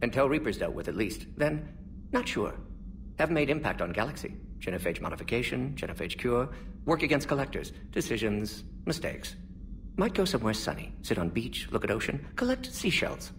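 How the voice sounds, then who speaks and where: An actor playing a brisk older alien scientist in a video game, speaking in clipped, clinical sentences without articles. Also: male voice, mid-range voice, very animated, fairly bright tone, far from the mic, a little hiss or hum. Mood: reflective, warm.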